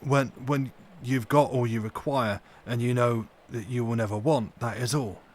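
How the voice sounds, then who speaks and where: A man's voice: medium pitch, fairly even intonation, normal speed, darkish tone, lightly acted. A man voicing a cunning, superior asylum madman from a storybook, speaking in a pompous, emphatic way. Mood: sly.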